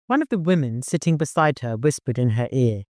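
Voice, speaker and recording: A man's voice: mid-range voice, animated, a little fast, darkish tone, no echo. Man narrating fiction, dry close sound. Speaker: audiobook narrator.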